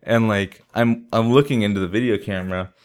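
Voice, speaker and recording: A man's voice: lowish voice, a little fast, clear, resonant tone. A man telling a casual story as a podcast co-host, in slangy, profane talk with acted-out quotes. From San Diego, California, United States. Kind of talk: casual chat, anecdote telling.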